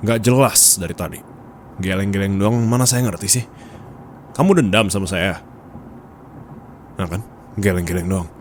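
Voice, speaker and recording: A man's voice: lowish voice, close to an everyday voice. A man playing a bossy, nagging office boss in an ASMR roleplay, scolding and teasing bluntly in colloquial speech with a Jakarta Indonesian accent. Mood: exasperated. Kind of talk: intimate ASMR roleplay acting. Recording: steady background ambience noise.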